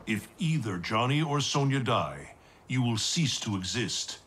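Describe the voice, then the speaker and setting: An actor playing an ancient, protective guardian god in a fantasy game, speaking in a formal, stately, deliberate way. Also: male voice, medium pitch, very animated, a bit bright, a little hiss or hum. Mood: solemn, counseling.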